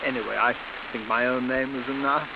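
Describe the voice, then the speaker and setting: An actor playing a vain, glib, genial stage star with an affected, patronizing manner in 1940s radio drama style. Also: male voice, medium pitch, steady tone, a little fast, vocal fry, natural voice. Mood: smug chattiness. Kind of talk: 1940s radio suspense acting. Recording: muffled, hissy vintage radio recording.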